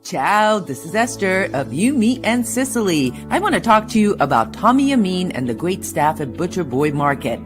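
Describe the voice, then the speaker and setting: A woman's voice: on the low side, very animated, normal speed, hardly any pauses. A woman reading a scripted radio commercial for a sponsor. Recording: clear music bed behind voice.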